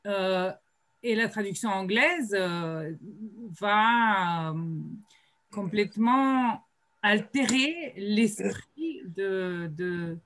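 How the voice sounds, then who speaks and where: Woman, unhurried panelist on a video call, lots of "euh" pauses, mid-pitched. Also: animated, a bit bright. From Slovenia. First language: Slovene. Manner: hesitant, many drawn-out "euh" pauses. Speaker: writer at a colloquium panel. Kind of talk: panel discussion remarks.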